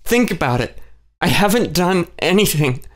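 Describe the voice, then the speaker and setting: A man voicing a distraught young female horned creature who is tearful, self-loathing and quick to anger. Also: very animated. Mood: anguished. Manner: tearful, strained, breaking into high cries.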